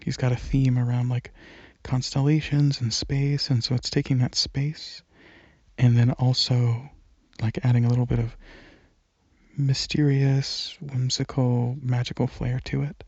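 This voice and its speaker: Soft, very breathy man, slow ASMR ramble. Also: medium pitch, level tone, no room sound, lo-fi sound. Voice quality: soft, breathy, often near whisper. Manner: hesitant, halting, informal. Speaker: ASMR channel creator.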